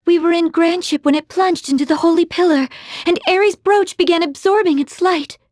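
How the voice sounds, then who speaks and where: An actress playing a prim, devout young maiden in a video game, with formal, precise speech and firm certainty. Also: female voice, extremely high pitch, moderate pace, a little mellow, dry recording, studio quality. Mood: solemn.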